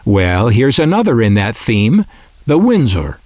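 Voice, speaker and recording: A man's voice: mid-range voice, very animated, measured pace, a little mellow, dry recording, faint music behind. A man narrating a scripted collector's video as host, with dry, tongue-in-cheek asides.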